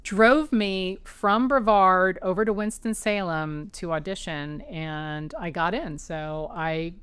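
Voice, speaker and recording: A woman's voice: lowish voice, takes it slowly, fairly bright tone, clear, resonant tone, dry recording. A woman author being interviewed at home, chatty and anecdotal, restarting sentences as she talks. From New York area, raised as an Army child. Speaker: author guest. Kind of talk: conversational interview. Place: her home.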